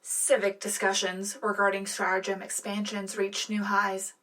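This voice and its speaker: A woman's voice: mid-range voice, monotone, moderate pace, pushed and bright, close to an everyday voice. A woman playing a polished, upbeat propaganda radio co-anchor, delivering scripted satirical news with tongue-in-cheek official euphemism. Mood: prim, upbeat. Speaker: radio co-host.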